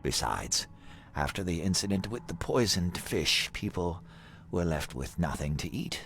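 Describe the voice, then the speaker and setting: Courteous, low-voiced wealthy heir. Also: male voice, expressive, fairly bright tone, a little hiss or hum, full character voice. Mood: composed, guarded. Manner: formal, measured, polite. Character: courteous, benevolent male heir of an influential family. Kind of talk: video game cutscene dialogue.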